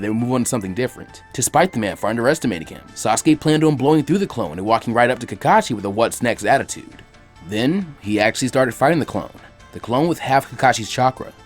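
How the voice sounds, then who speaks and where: A man narrating a fan fiction story in a quick, animated storytelling manner. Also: mid-range voice.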